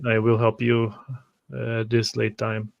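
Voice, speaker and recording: Man playing a measured, even-toned cautious traveler. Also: lowish voice, creaky, no room sound, lo-fi sound. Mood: calm, cautious. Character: cautious, courteous male traveler, loyal and measured.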